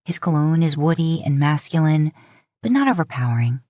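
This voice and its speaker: A woman's voice: low-pitched, big pitch swings, a little fast, a little mellow, dry recording, studio quality. A woman reading scripted second-person romantic fiction as a podfic narrator.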